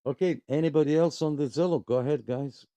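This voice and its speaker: A man casually hosting a radio club livestream, with a hesitant, pausing delivery. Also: on the high side, warm tone, dry recording. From abroad, moved to Canada in 2001. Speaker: host of a radio club live net.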